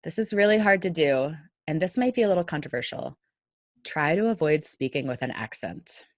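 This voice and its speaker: A woman's voice: low-pitched, normal speed, dry recording, studio quality. A woman in her thirties from Northern Virginia with a Washington DC area American accent, chatting as a guest over a headset on a video call. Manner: chatty, animated with hand gestures. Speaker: guest, data visualization trainer. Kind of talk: casual podcast interview over video call. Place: small room at home.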